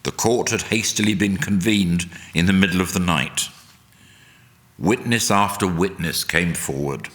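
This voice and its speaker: A man reading a solemn, dramatic narration in a deliberate, emphatic oratorical way. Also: sits low, fairly bright tone. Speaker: reader at a church service. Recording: long hall reverb.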